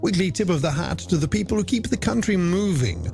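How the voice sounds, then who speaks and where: Animated Irish male late-night radio host. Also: sits high, fairly quick, creaky. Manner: theatrical, flowery phrasing with rhetorical questions. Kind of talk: polished late-night music radio presenting. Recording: music bed under the voice. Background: music.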